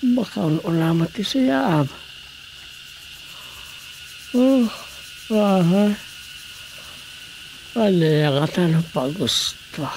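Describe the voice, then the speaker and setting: An actor with an Ilocano accent playing a stubborn, grumbling village captain in a comedy radio drama. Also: male voice, high voice, lively intonation, slow pace, gravelly, long pauses, darkish tone, slight background noise, full character voice, poor audio. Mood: muttering.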